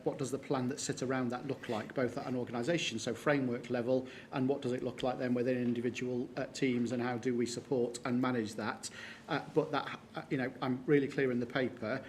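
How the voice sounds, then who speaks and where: A man presenting a report at a formal board meeting, halting and full of filler sounds. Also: mid-range voice, a little mellow, a little hiss or hum. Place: meeting room.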